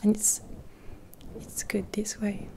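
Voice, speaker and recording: A woman speaking in a gentle, half-whispered ASMR roleplay, rambling softly and reassuringly with pauses, close to the mic. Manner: caring, reassuring. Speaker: ASMR creator. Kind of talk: soft-spoken ASMR roleplay.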